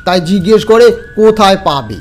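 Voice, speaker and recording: A man playing a secretive, terse village man in a horror audio story, speaking with deliberate, eerie calm. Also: high voice, faint music behind. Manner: slow, deliberate, evasive.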